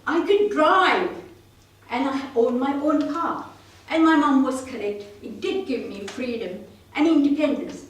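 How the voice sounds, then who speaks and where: A woman's voice: sits high, a little slow, a little hiss or hum. A woman from Sri Lanka giving a prepared club speech, telling her story in an earnest, self-deprecating way. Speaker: club speaker. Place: meeting room. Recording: roomy hall, mic at a distance.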